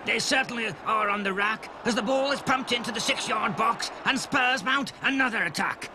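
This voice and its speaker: A man voicing an excitable football commentator with a gravelly voice. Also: very high-pitched, moderate pace. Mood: excited. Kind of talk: mock sports commentary in a story. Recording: noisy recording with ambience.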